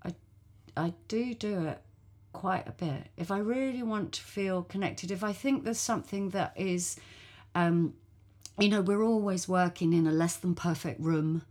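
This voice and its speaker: A woman's voice: fairly low pitch, unhurried, darkish tone. A woman storyteller talking casually and thoughtfully on a podcast, often affirming the others. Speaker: storyteller guest.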